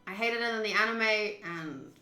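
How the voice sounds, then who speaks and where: A woman streaming a visual novel playthrough, reading the text aloud and chatting with viewers in an expressive way. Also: medium pitch, normal speed, echoey room. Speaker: game streamer.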